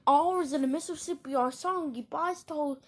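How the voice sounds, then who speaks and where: A woman's voice: high voice, normal speed. A woman reading a scripted emergency broadcast announcement in a formal, stilted, solemn way.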